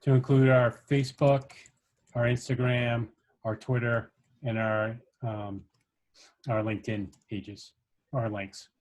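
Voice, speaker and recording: A man instructing a workshop, explaining things step by step in an informal, halting way as he shares his screen. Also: mid-range voice, level tone, darkish tone. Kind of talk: casual screen-share coding tutorial.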